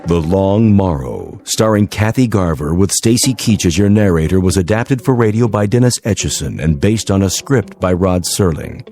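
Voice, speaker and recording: A man formally reading scripted radio announcements in a measured way. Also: deep voice, expressive, music in the background.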